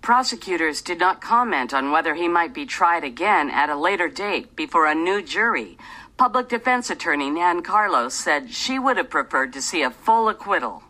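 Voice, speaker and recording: Bright, crisp-toned woman news reporter. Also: on the low side, lively intonation, moderate pace, poor audio. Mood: formal, detached. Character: composed female news reporter narrating a crime case.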